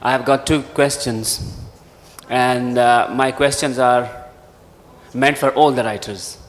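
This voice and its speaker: A man's voice: mid-range voice, fairly even intonation, slow, a little hiss or hum. A man in the audience politely asking the panel a formal question. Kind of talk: question from the floor. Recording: reverberant festival hall on PA.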